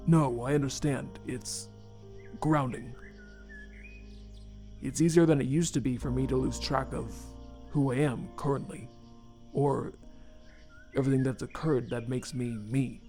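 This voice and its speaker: A man playing a remorseful, haunted skeleton scientist and father, speaking formally and haltingly with earnest care in a fan comic dub. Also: mid-range voice, steady tone, mellow, soft background music, lightly acted. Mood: haunted, reflective.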